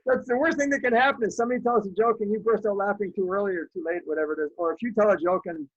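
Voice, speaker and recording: A middle-aged man from Canada, German his first language, talks through his memories in a reflective, anecdotal way, often restarting his sentences. His voice sits high and a little husky. The sound is slightly muffled and very dry. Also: rapid-fire, no room sound. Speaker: guest. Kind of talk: conversational interview storytelling.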